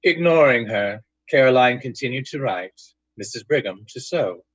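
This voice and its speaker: A man narrates a supernatural tale in vintage radio drama style. His voice is husky and medium in pitch. The sound is dry and a bit muted. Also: expressive, normal speed, no echo, dull audio.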